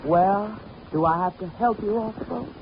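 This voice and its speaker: An actress playing a brassy gold-digger wife with sharp, sarcastic tough talk in 1940s radio style. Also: female voice, medium pitch, a little slow, vocal fry. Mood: bitter, flippant. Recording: dull, noisy 1940s radio recording.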